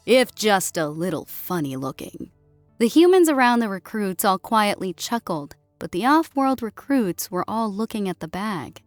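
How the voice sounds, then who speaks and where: A woman reads scripted fiction as an audiobook narrator. Her voice sits at a middle pitch and is recorded very dry, with music playing beneath. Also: dry recording.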